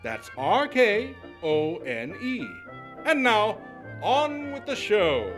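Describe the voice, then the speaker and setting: A man playing an old-time radio show announcer, reading sponsor lines with a booming showy pitch. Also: very animated, takes it slowly, music in the background, exaggerated voice.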